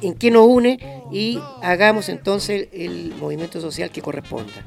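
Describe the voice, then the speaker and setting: A man's voice: high voice, moderate pace, vocal fry. A man in his fifties, a radio guest speaking earnestly with many hesitations. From Chile. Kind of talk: radio discussion.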